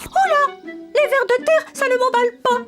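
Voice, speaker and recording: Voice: very high-pitched, fairly even intonation, normal speed. An actor voicing a chatty, curious, polite cuckoo bird in a French children's cartoon, exclaiming and talking to itself. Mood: cheerful, curious. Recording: music behind, slightly distant.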